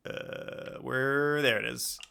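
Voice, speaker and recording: A man's voice: medium pitch, steady tone, takes it slowly, vocal fry, sharp tone, slight background noise. A man chatting casually on a game livestream, informally thinking aloud as he plays. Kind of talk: casual livestream gaming chat. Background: music.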